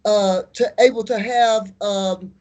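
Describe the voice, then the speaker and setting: An American woman in her seventies, an artist and community activist, answering interview questions in an unhurried, storytelling way with firm declarations. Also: medium pitch, fairly even intonation, a little mellow. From United States. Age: 70s.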